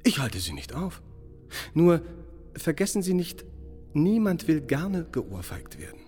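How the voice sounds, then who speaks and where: Smug evasive mayor guy, radio play, super animated official speechifying, music under him. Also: fairly high pitch, measured pace, breathy edge, heavily acted. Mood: evasive, bland. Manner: oratorical, unctuous, blandly bureaucratic. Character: evasive, self-satisfied small-town mayor of a seaside resort. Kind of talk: German radio drama acting. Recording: long hall reverb, music underneath.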